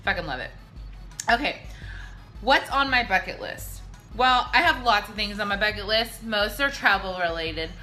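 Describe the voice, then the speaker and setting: A woman's voice: medium pitch, moderate pace, sharp tone, soft background music. A drunk woman hosting a casual, chatty question-and-answer video, blunt and brash, swearing freely.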